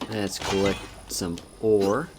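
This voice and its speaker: A man streaming a video game, chatting casually in a relaxed, soft-spoken and musing way as he plays. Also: lowish voice, slow pace, very noisy. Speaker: VTuber streamer. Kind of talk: casual gameplay commentary. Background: game music.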